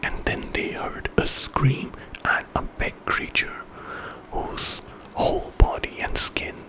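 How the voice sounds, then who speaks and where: A man's voice: takes it slowly, pushed and bright, low-quality recording. A man tells a story entirely in a whisper. His delivery is ASMR storytelling, conversational and loosely improvised, lingering on dramatic details as he narrates.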